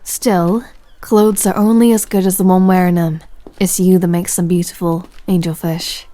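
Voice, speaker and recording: A woman's voice: lowish voice, flat delivery, moderate pace, dark tone, close to an everyday voice. A woman playing a tender but commanding female pirate captain in an intimate audio roleplay, with a stage pirate accent and teasing sailor slang. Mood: warm admiration. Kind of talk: scripted roleplay audio, intimate second-person address.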